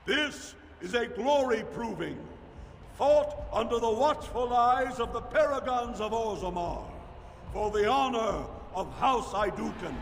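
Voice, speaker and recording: A man's voice: very high-pitched, lively intonation, measured pace, gravelly, full character voice. A man voicing a formal dwarf arena master in a video game, declaiming ceremonially. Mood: ceremonial, proud. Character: formal male dwarf arena master announcing honour combats. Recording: game audio, long arena reverb, ambient noise.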